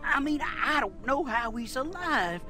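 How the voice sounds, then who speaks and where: High-pitched, jittery biker lackey. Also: male voice, expressive, unhurried, pushed and bright, faint music behind, exaggerated voice. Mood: nervous pleading. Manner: agitated, stammering, pleading. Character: jittery, twitchy, excuse-making male biker gang underling. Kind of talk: video game cutscene acting.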